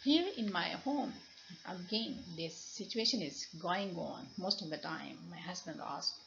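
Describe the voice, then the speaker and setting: A woman's voice: lowish voice, expressive, measured pace, gravelly. A middle-aged woman with a Pakistani accent and Punjabi as her first language, lecturing from slides and repeating key phrases as she explains. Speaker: university lecturer. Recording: dull lo-fi home recording with noise.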